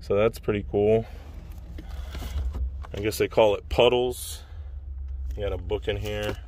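A man with a fairly low voice talks casually into a handheld camera while looking over a car. He is laid-back and slangy, full of admiration, and speaks off the cuff. Some outdoor background noise sits behind him. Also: fairly even intonation, a little slow, a little mellow. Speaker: car show vlogger. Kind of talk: casual off-the-cuff walkaround commentary. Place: outdoor car auction lot, at times inside a car. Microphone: handheld camera, close behind it.

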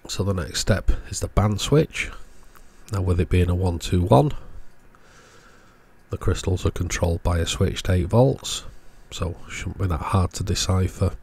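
A man's voice: low-pitched, a little slow, darkish tone, slightly rough. A man giving an informal technical walkthrough as host of an electronics repair video.

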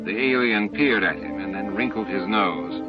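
A man's voice: fairly low pitch, normal speed. A man reading scripted science fiction narration as an audiobook narrator. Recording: dull, band-limited audiobook transfer. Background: faint music.

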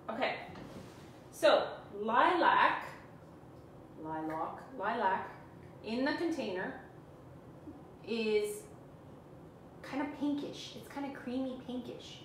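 A woman's voice: fairly high pitch, fairly bright tone. A Canadian woman in her forties, talking viewers through a live furniture painting demo in a chatty, self-teasing way. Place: small room indoors, sitting on the floor. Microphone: camera on a stand a few feet away. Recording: echoey room, far from mic, background hum.

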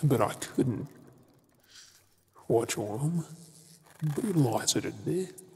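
An Australian man playing an anguished, defiant captive werewolf boyfriend in an ASMR drama, moving between breathy whispers and desperate pleas. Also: medium pitch, lively intonation, slow pace, dark tone, heavily acted. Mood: grieving, guilty. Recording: music under the voice.

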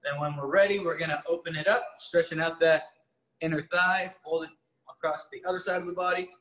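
A man's voice: fairly high pitch, steady tone, a little fast, fairly bright tone. Young man coaching in an echoey gym. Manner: upbeat, encouraging cues to participants. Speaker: fitness coach. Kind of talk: coaching a live online workout class. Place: large gym with rigs, indoors. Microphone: far camera.

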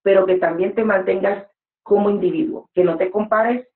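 A woman's voice: medium pitch, fairly even intonation, normal speed. A woman in her forties, a preacher speaking as an interview guest, earnest and exhorting, talking from a room at home over a webcam call. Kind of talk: motivational interview talk. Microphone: webcam on a video call. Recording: echoey room, phone-like sound.

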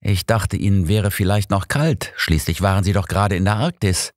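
A man's voice: low-pitched, moderate pace, no room sound, clean studio sound, lightly acted. A man voicing an exasperated, sarcastic older doctor in an audiobook, grumbling drily.

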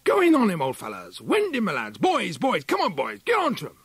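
Man acting an excited, high-pitched hallooing English huntsman. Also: brisk, breathy edge, no echo, heavily acted. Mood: urging, excited. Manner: loud, urgent hunting cries. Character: excited male huntsman hallooing on his hounds.

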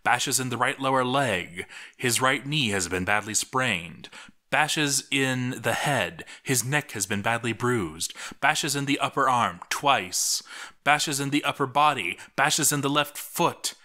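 A man narrating a scripted story in a theatrical, measured way, lingering on his phrases. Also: mid-range voice, expressive, bright, forceful tone.

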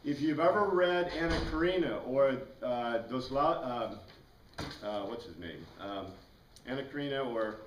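A man's voice: mid-range voice, expressive, moderate pace. Echoey middle-aged American man teaching. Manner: conversational, rambling, questioning the class. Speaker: class teacher. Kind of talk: informal classroom lecture. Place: small classroom with whiteboard and bookshelves. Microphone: far camera across the room. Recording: echoey room, distant mic, background noise.

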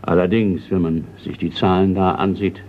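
An actor playing a courteous older retired colonel in a German radio crime play, measured and a little hesitant. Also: male voice, sits low, flat delivery, natural voice. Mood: businesslike, courteous. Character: retired colonel, older male art collector, courteous Scottish host. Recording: dull, noisy old radio recording.

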